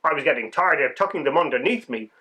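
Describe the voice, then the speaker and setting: A man voicing a small, excitable winged insect telling its adventure in a children's storybook, wide-eyed and dramatic. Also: a little high, quick pace, rough recording. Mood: thrilled. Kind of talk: children's storybook read-aloud.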